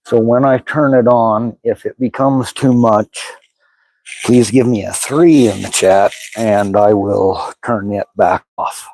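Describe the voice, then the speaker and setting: Hoarse low-voiced man, slow and casual. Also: steady tone, darkish tone, no room sound, rough recording. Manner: informal, talks directly to the chat. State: hoarse from bronchitis. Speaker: livestream host. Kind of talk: casual livestream setup chatter to viewers.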